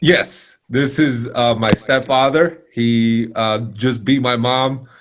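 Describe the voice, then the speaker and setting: A man's voice: medium pitch, steady tone, takes it slowly, noisy background. A man who does stand-up comedy, a podcast guest trading sarcastic, self-deprecating jokes. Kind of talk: joking banter.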